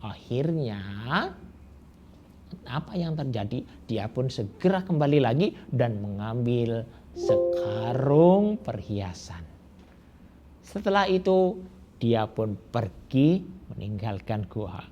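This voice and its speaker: A man telling a folk tale to children, slow and drawn-out, with rhetorical questions. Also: medium pitch, very animated, warm tone. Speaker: storyteller. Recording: dull lo-fi recording with faint hiss.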